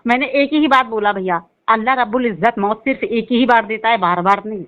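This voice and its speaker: A woman's voice: fairly high pitch, a little fast. A woman calling for help, speaking earnestly and assertively over the phone. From Uttar Pradesh, India. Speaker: caller seeking help. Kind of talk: phone call conversation. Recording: tinny narrow-band phone line.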